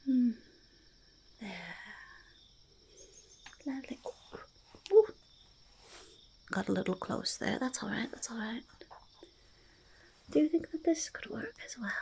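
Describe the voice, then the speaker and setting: A woman voicing a quirky, treasure-loving goblin in a soft ASMR roleplay, slipping between soft speech and breathy whispers, repeating words in a quaint, halting way. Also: on the high side, heavily acted. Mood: soft, absorbed. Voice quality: breathy half-whisper with creaky fry. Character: quirky, treasure-loving, mildly menacing forest goblin, speaking in third person.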